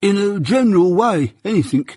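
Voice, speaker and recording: A man's voice: sits high, expressive, moderate pace, dark tone, slightly rough, dry recording, voice pushed up. A man doing a stuffy nervous petty crook. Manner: hesitant, nervous, stuffy-nosed. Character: nervous slow-witted male petty crook with a cold.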